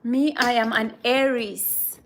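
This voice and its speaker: A woman chats casually in a soft voice with a noticeable creak. She takes her time, rambling and repeating phrases as she goes. There is slight noise behind her. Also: on the high side, steady tone, slow pace. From Kenya. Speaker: vlogger chatting with viewers. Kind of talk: casual chat.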